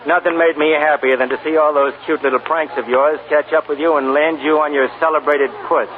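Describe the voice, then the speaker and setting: Actor playing a sneering, spiteful press agent, old radio. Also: male voice, fairly high pitch, fairly even intonation, a little fast, close to an everyday voice. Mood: bitter contempt. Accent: American. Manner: sarcastic, contemptuous, wisecracking. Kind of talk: 1940s radio drama acting. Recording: narrow-band 1940s radio recording, crowd behind.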